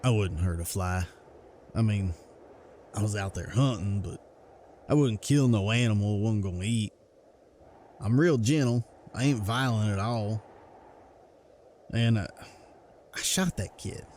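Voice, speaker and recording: A man voicing a gentle, guilt-ridden rural hunter in a motion comic, with folksy, plain speech. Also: on the low side, measured pace, pauses often, a little hiss or hum, natural voice. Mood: earnest, defensive, then remorseful. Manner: folksy, ungrammatical country speech. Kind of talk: comic book voice acting.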